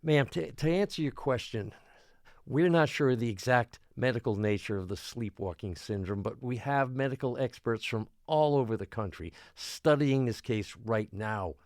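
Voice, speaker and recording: A man playing a harried small-island mayor in an audio drama, placating and stammering as he pleads for calm. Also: mid-range voice, normal speed, no room sound, studio quality, close to an everyday voice. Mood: earnest, hesitant. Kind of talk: audio drama acting, public town meeting address.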